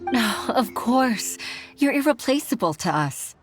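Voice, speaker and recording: A woman playing an earnest, humble secretary and mother in a video game, speaking softly and hesitantly in a heartfelt way. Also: on the high side, sing-song, a little slow. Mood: reassuring. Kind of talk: scripted game character dialogue. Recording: game dialogue over background music.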